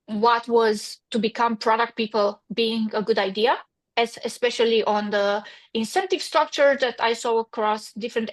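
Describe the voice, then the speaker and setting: Woman w/ romanian accent, kinda high voice, talks business, restarts a lot. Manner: pragmatic, with frequent restarts. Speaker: guest, company founder. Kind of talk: interview answers.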